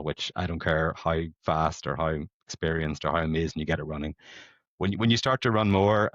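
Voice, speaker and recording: A Northern Irish man in his late thirties talks in a low voice, chatty and self-deprecating. He rambles easily like a podcast guest. The sound is dry and a bit dull, lo-fi. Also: no echo. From Belfast, Northern Ireland. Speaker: podcast guest, amateur competitive runner. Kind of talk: casual conversational storytelling.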